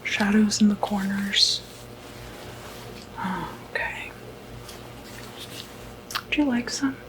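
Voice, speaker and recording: A woman speaking in a breathy whisper, softly reassuring and hesitant, in an ASMR medical roleplay as clinic staff. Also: mid-range voice, takes it slowly. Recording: noticeable background noise, ambient sound.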